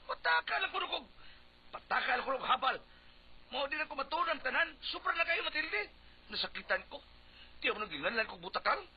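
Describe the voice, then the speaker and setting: Noisy lo-fi radio comedy: thin-skinned Cebuano husband, melodramatic, whining, wildly swooping pitch. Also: male voice, big pitch swings, a little fast, full character voice. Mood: indignant, outraged. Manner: melodramatic, whining, repeating himself. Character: Hugo, touchy, wounded, hot-tempered husband and election candidate. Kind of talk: comic Bisaya radio drama acting. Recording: noisy, dull lo-fi radio recording.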